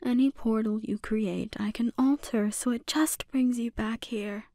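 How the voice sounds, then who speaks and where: A woman playing a menacing, seductive sorceress and obsessive mother in a breathy, often whispered ASMR roleplay, speaking deliberately and with quiet threat. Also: a little high, fairly even intonation, no room sound, clean studio sound.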